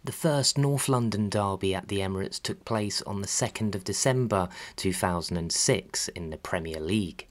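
A man reading scripted documentary narration about sport in a measured, factual way. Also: deep voice. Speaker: narrator of a football history channel.

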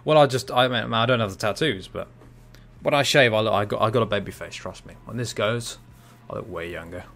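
A young man doing casual, chatty live commentary on a fighting game from a small room at home, shouting out reactions and laughing with viewers. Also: lowish voice, fairly quick, creaky. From half Cypriot. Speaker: gaming streamer.

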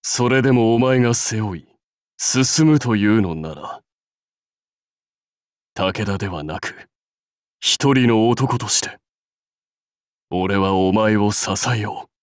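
An actor playing a proud, brusque warlord for a mobile game, curt, commanding and self-assured in manner. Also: male voice, lowish voice, takes it slowly, long pauses, dry recording, studio quality. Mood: solemn, resolute. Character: proud, brusque warlord rider devoted to his clan. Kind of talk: Japanese mobile game character lines.

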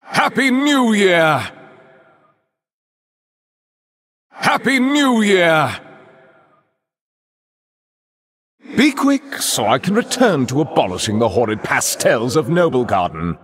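Casting: an aristocratic, sadistic vampire lord in a fantasy game; husky, grandly theatrical, hugely animated. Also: male voice, on the high side, full character voice. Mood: wry. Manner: theatrical, aristocratic, pompous, drawn-out menace. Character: haughty male vampiric Venthyr lord, sadistically gleeful. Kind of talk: video game character voice lines.

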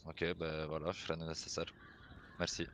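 A man's voice: very deep voice, monotone, brisk, a bit bright. A man improvising as a police commander in game roleplay, stern but hesitant in his phrasing. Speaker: player acting a police commander.

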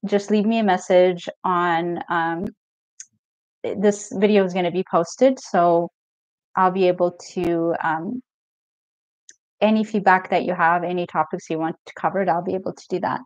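A woman's voice: on the low side, normal speed, dry recording. Middle-aged woman, Ethiopian-born coach, easygoing live-stream host, lots of fillers; lo-fi, dry sound. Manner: relaxed, chatty, reads viewer comments aloud with many fillers. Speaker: mental health coach. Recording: dull lo-fi stream audio, dry.

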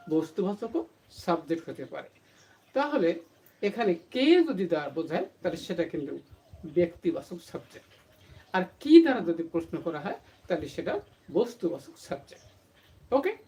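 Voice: medium pitch, a little mellow. A teacher explaining a lesson to students, posing questions and then answering them, with English terms mixed in. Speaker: English grammar teacher for an online channel.

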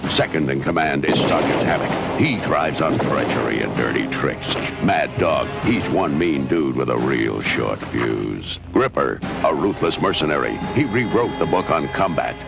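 A man's voice: extremely low pitch, lively intonation, measured pace, vocal fry, hardly any pauses. An American man narrating a dramatic 1980s toy promo in an emphatic, menacing, theatrical manner. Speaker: commercial announcer. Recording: old video tape promo, music and battle effects. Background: dramatic music, artillery and vehicle sounds.

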